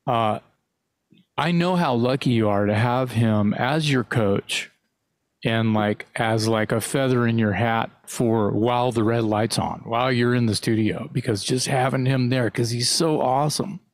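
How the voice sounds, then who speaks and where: Casting: male co-host, mid-pitched, loose rambling chat, dry studio mic. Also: dry recording. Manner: rambling, halting, swears casually. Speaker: podcast co-host. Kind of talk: casual interview chat.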